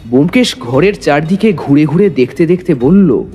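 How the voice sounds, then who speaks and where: A man's voice: a little high, lively intonation, moderate pace, mellow, soft background music. A man narrating a story as dramatic scripted audio.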